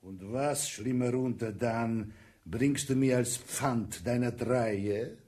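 Slow, imperious Russian Tsar, played by a man. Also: mid-range voice, darkish tone, close to an everyday voice. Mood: haughty. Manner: slow, commanding, crude. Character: imperious, coarse Russian Tsar granting an audience. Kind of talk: radio drama acting.

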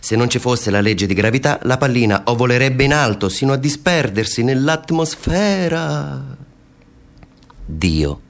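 A man's voice: mid-range voice, lively intonation, unhurried. A man acting in a radio play as a cynical, impatient man of the law, sarcastic, taunting and theatrical. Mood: taunting, lecturing. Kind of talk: theatrical radio drama dialogue.

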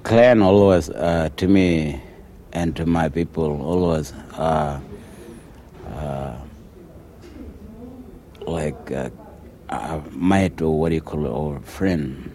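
A man giving hesitant interview answers, pausing often with fillers. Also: rumbling low, warm tone. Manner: hesitant, many pauses and fillers. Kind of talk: halting interview answers in English.

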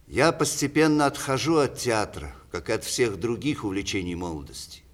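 A man acting as an ageing, witty playwright in a classic radio play. His delivery is declamatory and ironic, swinging widely in pitch as he pronounces on the world with strong opinions. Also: animated, normal speed, natural voice. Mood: wry, reflective. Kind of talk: 1960s Russian theatre radio play acting.

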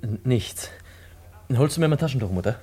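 A man's voice: medium pitch, lively intonation, brisk, warm tone, breathy edge. An actor playing a hunted young man who is evasive and reassures his mother, in a German radio play. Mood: guarded, uneasy. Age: young adult.

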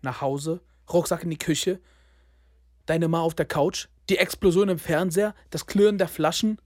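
A man's voice: fairly high pitch, fairly even intonation, moderate pace, darkish tone, no room sound, clean studio sound. A young man narrating a radio play in the second person, terse and sardonic, often breaking off to restart the scene.

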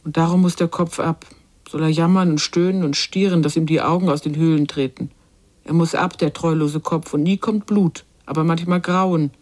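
An actress playing a grave, unsparing narrator in a literary radio drama, speaking in measured, incantatory short phrases. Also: female voice, sits low, steady tone, a little mellow, lightly acted. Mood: unsparing, bleak. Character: female narrator of a child's inner torment, grave, unsparing.

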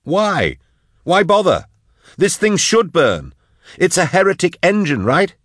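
Man playing a frantic young companion, pitched high, lively, dry recording. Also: takes it slowly, no room sound, exaggerated voice. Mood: urgent.

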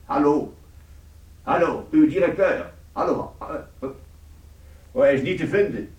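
An actor in a radio play playing a fussily polite company official who speaks in a formal, pompous and somewhat hesitant manner. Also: male voice, a little high, unhurried, a little mellow, slightly rough. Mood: flustered, straining.

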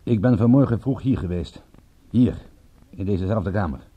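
An actor playing a brooding, blunt, dogged private detective in a radio drama, with a slightly husky voice. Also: male voice, lowish voice, animated, moderate pace, narrow, tinny audio. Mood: grave, insistent.

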